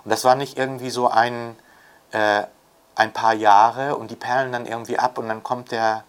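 A man's voice: mid-range voice, flat delivery, normal speed, smooth. An older man talking off the cuff as an interviewed author and scholar, reflective and emphatic, often adding rhetorical tags. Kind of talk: unscripted intellectual interview talk.

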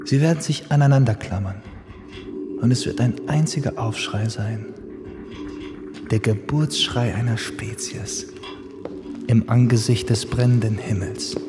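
An actor playing a brooding man who muses in a solemn, hushed, lingering radio play monologue. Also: medium pitch, a little slow, long pauses, heavy background noise, natural voice. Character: brooding man musing over sleeping humanity. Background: music.

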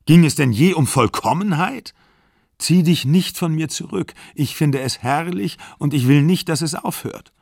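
A man voicing an older man, shouting in desperate, bitter anger at the woman who is leaving him. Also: mid-range voice, expressive, unhurried, no room sound, clean studio sound. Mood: angry. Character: older man, desperate and angry at being left.

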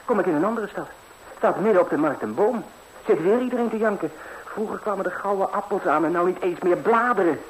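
A carefree young fairy-tale hero on vintage radio, chatting breezily and eagerly. The voice is fairly high and very animated, with faint noise on the old recording. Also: male voice, brisk. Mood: animated recounting. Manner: breezy, colloquial, eager storytelling. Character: cheerful, fearless, naive young lad, lucky fairy-tale hero. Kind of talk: 1960s Dutch radio fairy-tale acting. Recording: slightly noisy old 1960s radio recording.

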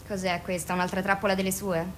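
A woman's voice: mid-range voice, flat delivery, fast, a little hiss or hum, close to an everyday voice. An actress in a radio drama playing a defiant, bitter young patient, blunt, colloquial and sarcastic with her therapist. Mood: curt, wary. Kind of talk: Italian radio drama acting.

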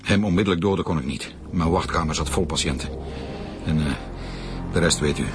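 An actor with a Flemish accent playing a fed-up doctor confessing in a halting manner in a radio drama. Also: male voice, a bass voice, music in the background. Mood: fed-up confession. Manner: halting, stammering.

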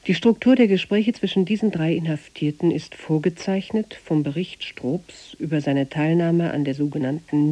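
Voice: mid-range voice, mellow. An announcer reading a scripted introduction to a German radio drama.

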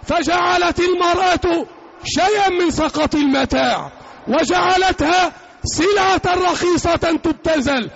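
High-pitched, gravelly man preaching forcefully. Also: unhurried. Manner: emphatic, declamatory, repeats short phrases. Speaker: preacher delivering an Eid sermon. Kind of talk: Arabic religious sermon, loud oratory. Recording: dull lo-fi sound, faint background noise.

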